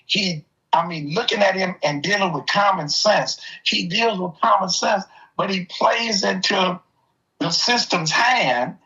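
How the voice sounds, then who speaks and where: A man's voice: high voice, measured pace, sharp tone. An older man in casual, opinionated conversation, telling stories in an emphatic, streetwise way. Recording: dull, lo-fi audio.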